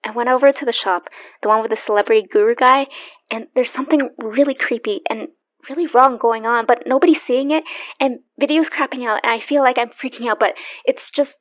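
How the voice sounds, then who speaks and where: Actress as a jittery, higher-voiced young woman. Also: brisk, no room sound, muffled recording, close to an everyday voice. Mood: urgent, nervous. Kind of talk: naturalistic audio drama acting.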